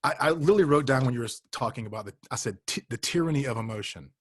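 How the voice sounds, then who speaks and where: A man talking as a guest in a conversation, heartfelt and animated, with exclamations. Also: mid-range voice, rapid-fire, slightly rough. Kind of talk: casual conversation.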